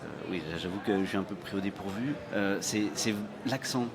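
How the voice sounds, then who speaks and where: A man's voice: on the low side, a little fast, background noise. A man from France with a Parisian accent, a guest author talking in a casual radio interview with many fillers and restarts, recorded live at a busy fair. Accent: Parisian French. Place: immigration fair hall. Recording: live event with crowd behind.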